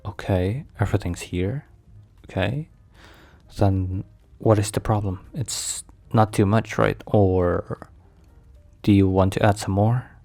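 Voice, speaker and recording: A man playing an overprotective mafia boss boyfriend in an ASMR roleplay, speaking formally with measured, halting, slightly stilted phrasing. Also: on the low side, creaky, dark tone, soft background music. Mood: concerned, gentle.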